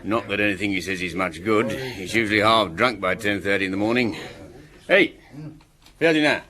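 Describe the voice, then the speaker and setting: A man's voice: lowish voice, moderate pace, pushed and bright. An actor playing a brash, over-familiar village man in a radio drama, blunt and sardonic. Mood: sardonic, needling.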